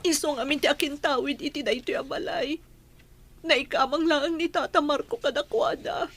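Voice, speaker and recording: A woman's voice: high voice, moderate pace, fairly bright tone. A woman acting an anguished mother of a sick child in an Ilocano radio drama, pleading and tearful.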